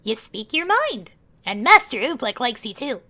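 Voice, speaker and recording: Earnest young queen with swooping high voice, acted by a woman. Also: normal speed, full character voice. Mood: enthusiastic. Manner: expressive, informal. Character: young queen, earnest, friendly, informal and excitable. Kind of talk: fan dub character acting.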